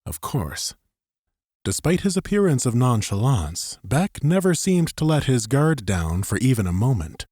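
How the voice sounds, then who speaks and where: A man's voice: medium pitch, very animated, unhurried, a little mellow, slightly rough, dry recording, studio quality. A man reading scripted third-person fiction narration as an audiobook narrator.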